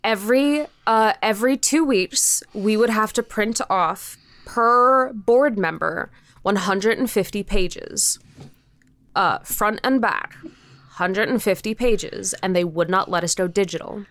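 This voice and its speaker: A woman's voice: medium pitch, slow, fairly bright tone, no room sound. Woman, interview guest, casual storytelling, informal and emphatic, rambling, very dry close sound. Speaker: interview guest, creator of a podcast show.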